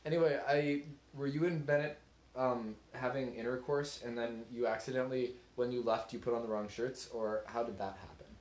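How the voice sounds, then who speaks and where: A young man with a neutral Midwestern accent hosting a podcast, teasing his guests with deadpan jokes. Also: medium pitch, moderate pace, reverberant, rough recording. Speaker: podcast host. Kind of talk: joking casual podcast banter.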